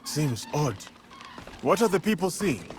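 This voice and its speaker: An actor playing an honorable, composed samurai warrior in a video game, speaking formally and earnestly. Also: male voice, a little high, very animated, measured pace, a little hiss or hum. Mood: thoughtful. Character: steadfast, honorable male samurai warrior, calm and loyal.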